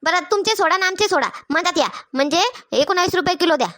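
Cartoon village woman, actress: very high and sharp, bossy, blunt haggler. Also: fast talker. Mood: bargaining. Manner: blunt, demanding, colloquial. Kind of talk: Marathi comedy cartoon dialogue.

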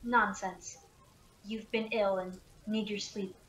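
A woman's voice: mid-range voice, steady tone, slow pace, natural voice. A young woman acting as an anxious, caring young woman keeping night watch in a radio play. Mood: caring, insistent. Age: young adult. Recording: roomy, some background noise.

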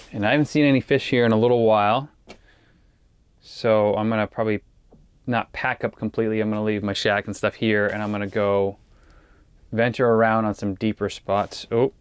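A man's voice: fairly low pitch, steady tone, a little fast, clean tone, dry recording. A man talking casually to viewers as a fishing vlogger, in an easygoing, rambling way. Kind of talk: casual vlog chat to viewers. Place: small ice fishing shelter on a frozen lake. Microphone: action camera facing him.

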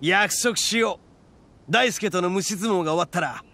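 A man voicing a brash, boastful young oni gang boss in an anime game, with cocky, blustering, rough street talk. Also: sits high, normal speed, bright, forceful tone, a little hiss or hum, full character voice. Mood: earnest.

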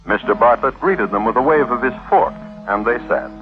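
A man's voice: mid-range voice, normal speed, lightly acted. A man giving dry, droll, formal scripted narration for a 1950s radio program. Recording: tinny 1950s radio recording, music behind.

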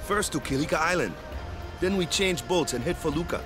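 An actor playing an easygoing young guardian in a video game who talks in a breezy, upbeat way. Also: male voice, on the high side, animated, a little fast, music in the background, close to an everyday voice. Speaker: game character.

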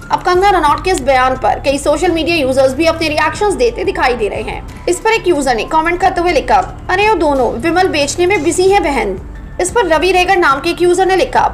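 A woman narrating scripted entertainment news as a voice-over. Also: very high-pitched. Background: background music.

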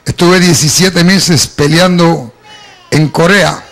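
Hombre de voz aguda y rasposa, testimonio en iglesia. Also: male voice, a little high, steady tone, takes it slowly, fairly bright tone. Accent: Puerto Rican Spanish with some Cuban. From Puerto Rico. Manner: storytelling, addresses the congregation as "amado". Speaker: preacher giving a testimony. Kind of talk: church testimony. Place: church hall before a congregation. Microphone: handheld microphone.

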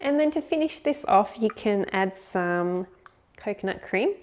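A woman's voice: mid-range voice, animated, a little slow, a little mellow. Brief: woman in her 30s, friendly home cook host, chatty step-by-step talk in a kitchen. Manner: upbeat, chatty, explains step by step. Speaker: cooking show host. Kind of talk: friendly recipe demonstration.

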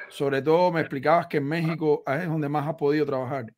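A middle-aged man with a Cuban accent, hosting an interview with relaxed questions. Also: fairly high pitch, a little fast, reverberant. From Cuba. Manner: short affirmations, relaxed questions. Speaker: interviewer, host. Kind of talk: conversational interview. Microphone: studio mic on stand, headphones.

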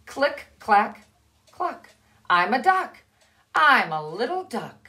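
A woman voicing a small duckling who insists he is a chick, in a high pleading childlike voice for a storybook read-aloud. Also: sing-song, takes it slowly, bright, forceful tone, exaggerated voice. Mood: excited.

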